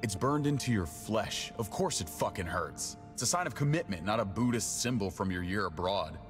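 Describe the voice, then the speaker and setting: A man's voice: lowish voice, lively intonation, normal speed, natural voice. An actor playing a hard-bitten, short-tempered man in a video game, blunt and commanding in his delivery. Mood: irritated, bitter. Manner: blunt, clipped, profane, commanding. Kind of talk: naturalistic dramatic game acting. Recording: long reverb, music under the voice.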